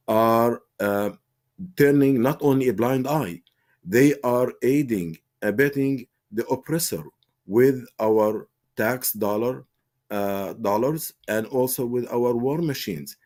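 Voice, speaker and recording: A man's voice: medium pitch, warm tone. A man from Palestine, an advocacy spokesman answering in a TV interview, earnest and impassioned with frequent fillers and restarts.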